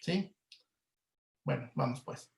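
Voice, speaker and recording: A man's voice: medium pitch, slow pace, vocal fry. A Mexican man giving an informal explanatory webinar lecture, often checking in with short tag questions. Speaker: lecturer, audio producer. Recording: echoey room.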